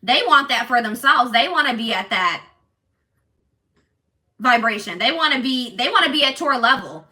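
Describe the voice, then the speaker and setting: A woman doing a casual tarot reading for her viewers, talking in an assertive, loud way with lots of restarts and "like". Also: on the high side, moderate pace, long pauses. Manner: animated, many restarts and fillers. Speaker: tarot reader.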